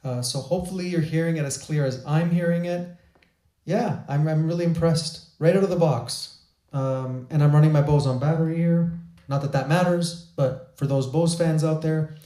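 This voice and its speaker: Man, gear review host, fairly high voice, enthusiastic, casual, shotgun mic. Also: moderate pace, mellow. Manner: enthusiastic, chatty, with small restarts. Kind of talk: product review talk. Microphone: shotgun microphone.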